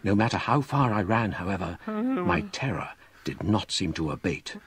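Low-voiced scholarly ghost-story narrator, expressive. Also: male voice, heavy background noise. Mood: grave, haunted. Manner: measured, literary, grave. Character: scholarly gentleman recounting his own ghost story. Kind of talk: dramatic scripted narration.

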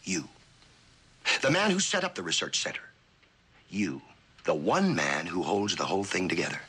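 An actor playing a slick, persuasive American spy who talks insistently in an old suspense drama. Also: male voice, sits low, very animated, a bit bright, husky, heavily acted. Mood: urgent, pressing. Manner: insistent, fast-talking persuasion. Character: slick persuasive male spy pressuring a scientist. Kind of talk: mid-century American suspense drama acting. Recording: old broadcast audio with music behind.

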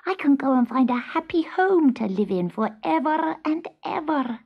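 A small, innocent boy kitten played by a woman reader, pitched high and childlike. He is wide-eyed and plaintive, like a storybook hero, on a slightly muffled recording. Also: lively intonation, moderate pace, gravelly. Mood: hopeful. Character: frightened, eager little boy kitten, sweet and innocent.

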